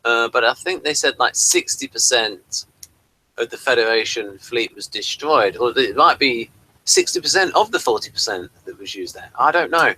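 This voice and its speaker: A man talks through a casual review as co-host. His voice is bright and forward, and his delivery is hesitant and meandering, circling around each point. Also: mid-range voice, big pitch swings, normal speed, slightly rough, poor audio. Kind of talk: casual chat reviewing audio drama.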